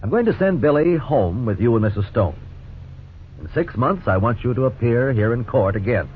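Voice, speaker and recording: A man's voice: medium pitch, big pitch swings, moderate pace, natural voice. Guy doing a stern judge laying down the law, old tinny radio play, faint hiss. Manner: stern, admonishing. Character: stern middle-aged male juvenile court judge. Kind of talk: 1950s radio drama acting.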